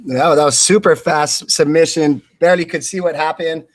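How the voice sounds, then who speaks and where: High-voiced man calling a fight. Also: steady tone, normal speed. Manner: blow-by-blow calls in short bursts. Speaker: radio fight commentator. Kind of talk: live play-by-play commentary.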